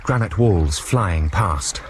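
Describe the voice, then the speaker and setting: An actor playing a wry adventurer recounting his ordeal in a radio drama, in formal, understated, deadpan first-person narration. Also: sits low, slow pace. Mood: dry recounting. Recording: noisy 1990s radio drama broadcast.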